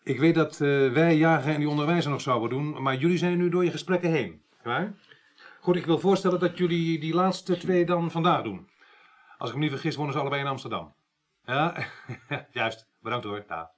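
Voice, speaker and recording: A man's voice: on the high side, fairly quick, natural voice. An actor playing a businesslike, courteous male police inspector in a 1980s Dutch radio drama.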